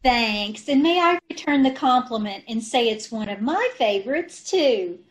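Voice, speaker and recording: A woman's voice: a little high, animated, normal speed, natural voice. A woman playing a scatterbrained, agreeable wife in an old-time radio comedy, ditzy and earnest, over a video call. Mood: chirpy, agreeable. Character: scatterbrained, agreeable wife who mangles sayings.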